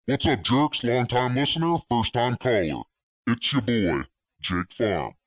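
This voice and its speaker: A young man leaving a voicemail for a podcast, pushing his voice low and throaty, brash and teasing. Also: expressive, no echo. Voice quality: voice deliberately lowered and throaty. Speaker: caller. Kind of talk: voicemail message.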